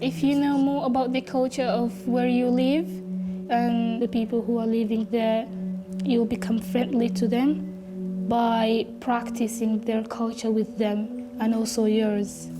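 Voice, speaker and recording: A woman's voice: on the high side, little pitch movement, measured pace, loud music behind the voice. A young woman from Somalia, speaking as a student giving a personal interview. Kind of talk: interview testimony.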